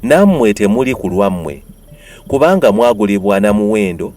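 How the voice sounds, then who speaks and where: A man's voice: fairly low pitch, lively intonation, moderate pace, a little mellow. A man with a Ugandan accent gives a dramatized, declamatory Bible reading with emphatic delivery. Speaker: Bible reader.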